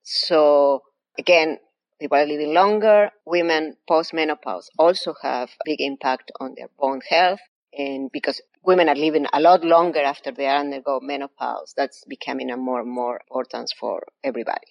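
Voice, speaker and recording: A woman with a low, deep voice and an Argentine accent answers interview questions. She explains her science step by step in a patient, methodical way, a guest laying out her field for listeners. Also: normal speed, vocal fry, highs cut off. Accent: Argentine Spanish. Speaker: anatomy professor, guest.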